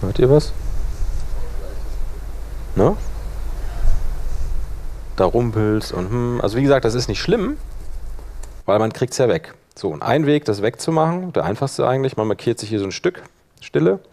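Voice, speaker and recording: A man presenting a hands-on software workshop live, informally thinking aloud as he demonstrates each step. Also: mid-range voice, sing-song, normal speed, pauses often.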